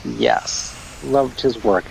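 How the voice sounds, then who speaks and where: A man's voice: on the low side, wildly swooping pitch, drawn-out words, gravelly, a little hiss or hum, low-quality recording. A man co-hosting a livestream, chatting casually and hesitantly, often laughing. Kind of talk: casual chat.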